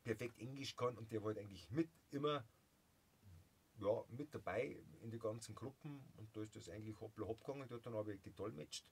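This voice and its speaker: A man's voice: lowish voice, a little fast, darkish tone, slightly rough. A man around fifty with a Bavarian accent, a musician chatting jovially in an interview in a small home studio, full of fillers and restarts. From Bavaria, Germany. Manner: jovial, laughs often, many fillers and restarts. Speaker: musician guest. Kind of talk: casual interview chat.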